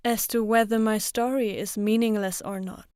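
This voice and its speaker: A woman playing a composed, proud monster trainer in an anime-style fan dub, measured and quietly confident. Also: medium pitch, steady tone, clear, resonant tone, no echo, studio quality, close to an everyday voice. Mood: quietly defiant. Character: composed, proud female monster trainer, gentle yet menacing. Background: background music.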